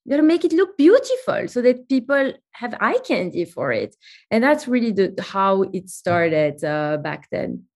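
A woman's voice: mid-range voice, very animated, moderate pace, darkish tone. Woman, 30s, chatty storyteller with fillers, for an interview guest. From Belgium. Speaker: guest, company CEO. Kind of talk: remote interview chat.

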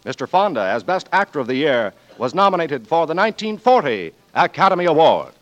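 A man's voice: on the high side, big pitch swings, fairly quick, highs cut off. A man with an American accent announcing formally in 1940s radio style. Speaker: program announcer. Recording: vintage 1940s radio broadcast. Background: music.

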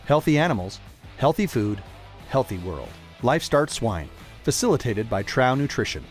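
A man's voice: medium pitch, big pitch swings, normal speed. A man reading a scripted podcast introduction and sponsor messages as an announcer. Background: guitar music.

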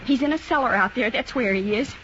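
A woman's voice: a little high, fast talker, a bit bright, lightly acted. A woman playing an anguished, frightened criminal's wife in a 1950s radio drama, speaking hesitantly and plainly. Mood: anguished, resolute. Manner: hesitant, plain unschooled speech. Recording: dull, noisy 1950s radio recording.

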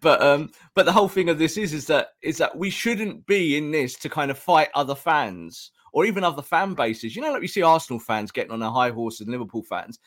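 A man's voice: fairly high pitch, lively intonation, brisk, few pauses, fairly bright tone. A man co-hosting a football podcast, talking earnestly with frequent restarts while losing his voice. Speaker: podcast co-host. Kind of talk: casual football fan discussion.

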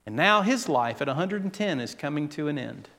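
A man preaching a sermon, speaking slowly and deliberately and acting out quoted voices with drama. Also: fairly high pitch, big pitch swings, a bit bright. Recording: long hall reverb.

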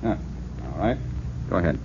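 Low-voiced, wisecracking amateur sleuth. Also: male voice, animated, moderate pace. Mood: cool, teasing. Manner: breezy, sardonic, flirtatious. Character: cocky, wisecracking amateur detective. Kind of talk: 1940s radio acting. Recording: tinny, hissy old radio recording.

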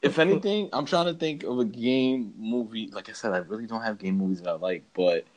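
Slangy low-voiced man, casual podcaster. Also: brisk, low-quality recording. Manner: slangy, peppering talk with "bro" and "man". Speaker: podcast co-host. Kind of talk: casual movie chat, ranting and joking.

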